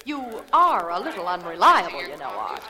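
An actress playing a sharp-tongued young film actress, talking sarcastic slang in 1940s radio drama style. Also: female voice, on the low side, sing-song, moderate pace, crowd noise. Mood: cutting, sarcastic.

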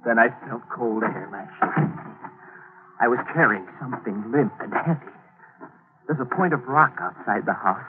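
A man's voice: medium pitch, normal speed, background noise, natural voice. An actor playing a haunted man who narrates his own grim confession in a 1940s radio drama. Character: haunted colorblind man recounting a killing. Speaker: narrator and protagonist. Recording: tinny old radio broadcast.

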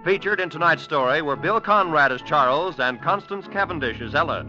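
Higher-pitched vintage radio announcer man. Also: lively intonation, brisk, bright, forceful tone. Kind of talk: 1940s radio announcing, scripted. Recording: dull vintage radio recording over music.

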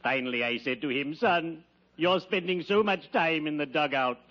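An actor playing a gloomily courteous, pompous undertaker in old-time radio comedy, with formal, mournful diction. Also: male voice, on the high side, normal speed, fairly bright tone, lightly acted. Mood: solemn, droll. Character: gloomily courteous, pompous male undertaker fond of morbid puns. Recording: noisy, dull vintage radio recording.

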